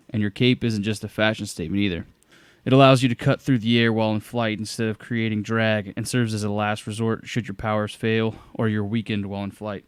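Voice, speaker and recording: An actor playing a determined, clever inventor hero in an audio drama, explaining plans in an earnest, matter-of-fact way. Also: male voice, on the low side, clean tone, no echo, lightly acted. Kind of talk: scripted audio drama acting.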